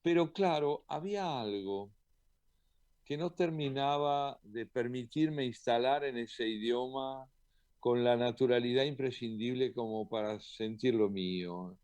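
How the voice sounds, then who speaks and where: A man answers questions as a poet and translator, thoughtful and measured. He pauses now and then with small hesitant fillers. His voice is mid-pitched and sounds dry and close to the mic. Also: darkish tone, no echo. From Argentina. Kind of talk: reflective interview answers.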